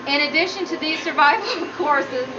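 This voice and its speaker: A woman giving a humorous prepared speech as a student, with a nervous, giggly delivery. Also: moderate pace. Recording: muffled low-quality audio, noticeable background noise.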